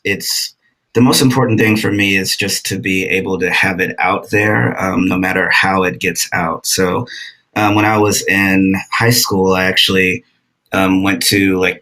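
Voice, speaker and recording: Deep gravelly man, bright edge. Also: rough recording. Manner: hesitant, many ums, restarts. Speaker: writer on a book festival panel. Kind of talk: casual panel talk.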